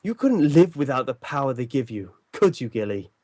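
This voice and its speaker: Man acting a commanding, mellow-voiced detective. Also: medium pitch, big pitch swings, normal speed, no echo, clean studio sound, natural voice. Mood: stern, accusing. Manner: authoritative, formal, theatrical. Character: commanding, incisive male detective, calm and stern. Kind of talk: old-time radio drama acting.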